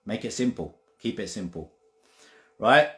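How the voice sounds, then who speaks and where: A man's voice: fairly low pitch, lively intonation, measured pace. A man giving a casual spiritual talk, emphatic and repetitive, sometimes swearing. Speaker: spiritual teacher. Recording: roomy echo with faint music.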